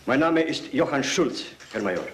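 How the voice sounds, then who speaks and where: An actor playing a brash, streetwise undercover agent who talks rough and pushy. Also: male voice, mid-range voice, expressive, moderate pace, natural voice. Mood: dutiful. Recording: hissy film soundtrack.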